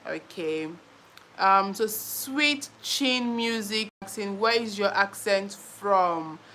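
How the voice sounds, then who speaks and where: Young woman, Nigerian accent, playful chatty livestream host, bright tone, phone mic, slight background hiss. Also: medium pitch, slow pace. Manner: chatty, playful, laughs often, repeats phrases. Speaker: live stream host. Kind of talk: casual live stream chat reading comments.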